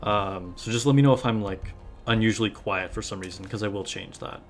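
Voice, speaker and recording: A man's voice: fairly low pitch, brisk. A man with a slightly scratchy voice chatting casually and informally as he hosts a live stream and greets viewers. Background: music.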